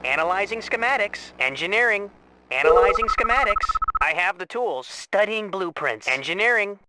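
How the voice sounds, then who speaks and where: An actor voicing an eager, dutiful army unit giving short responses in a video game. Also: male voice, sits high, animated, normal speed, bright, forceful tone, full character voice, rough recording.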